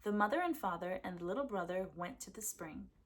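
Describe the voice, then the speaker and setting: A woman reading a folktale aloud as a storyteller, with expressive narration for children. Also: mid-range voice, brisk.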